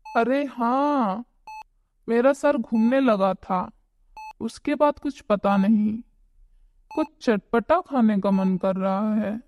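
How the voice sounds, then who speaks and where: A woman's voice: a little high, takes it slowly, lo-fi sound. A woman voicing an ailing, grateful village mother in a Hindi cartoon story, drawing out her words. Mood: dazed, weak.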